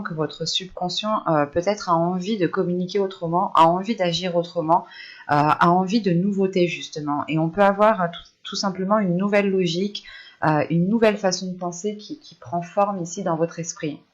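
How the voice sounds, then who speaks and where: A woman with a fairly low voice gives a spoken tarot reading. She feels her way through the interpretation, hesitating and restating phrases. The recording is somewhat dull and lo-fi. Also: steady tone, moderate pace. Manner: hesitant, searching for words, repeats phrases. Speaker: tarot reader.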